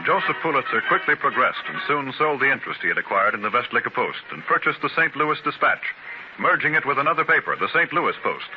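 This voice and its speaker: A man's voice: medium pitch, animated, quick pace, over loud music, lightly acted. A man narrating a 1930s radio biography drama in a formal, dramatic announcer's delivery. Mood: stately. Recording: noisy, dull 1930s radio transcription.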